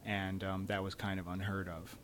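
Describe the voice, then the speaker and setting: An American man explains things in a low, measured voice during a conference interview. A faint rumble and hiss run underneath. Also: monotone, a bit bright. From United States. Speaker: company CEO guest. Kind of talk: casual interview answers. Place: conference summit venue.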